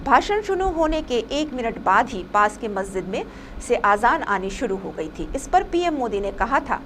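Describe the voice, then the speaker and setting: A woman's voice: a little high, very animated, normal speed, a bit bright. A woman reading a scripted news report as a presenter, with some noise behind her.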